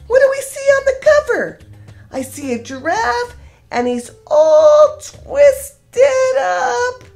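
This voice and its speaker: A woman reading a picture book aloud as a storytime host, expressive and sing-song as she talks directly to young children. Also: very high voice, slow pace, gravelly.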